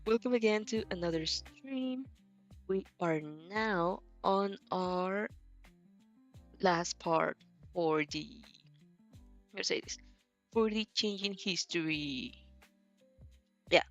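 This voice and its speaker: A woman's voice: medium pitch, takes it slowly, long pauses, fairly bright tone, soft background music. A woman streamer talking informally and reading fan fiction aloud with expression. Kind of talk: informal livestream chat and expressive story reading.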